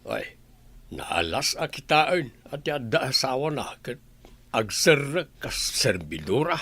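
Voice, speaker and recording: Animated, irritable Filipino man played by an actor. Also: medium pitch, slow, breathy edge, full character voice. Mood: grumbling. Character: gruff, irritable, suspicious brother-in-law. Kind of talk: Ilocano audio drama acting.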